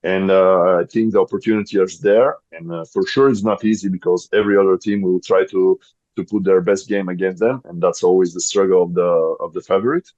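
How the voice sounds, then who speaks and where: A low, dark-toned man in his thirties with a Bulgarian accent speaks English carefully, stopping often to find the right word. The sound is dry and slightly dull, as from a modest recording setup. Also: dry recording. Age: 30s. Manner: searches for English words, many pauses. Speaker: guest, volleyball player. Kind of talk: podcast interview.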